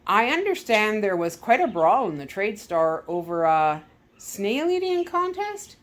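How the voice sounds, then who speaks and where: A smug Soviet KGB skipper , as a woman reader puts him on, the talkative male villain of a storybook adventure. He gloats in a deliberate, theatrical way, pleased with himself and keen to explain. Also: mid-range voice, sing-song. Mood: gloating. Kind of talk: storybook read-aloud villain.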